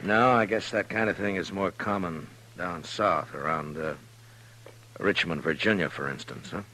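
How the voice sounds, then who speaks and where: An actor playing a steady, grave, plain-spoken frontier lawman in an old-time radio western, halting and understated. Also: deep voice. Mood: troubled, grave.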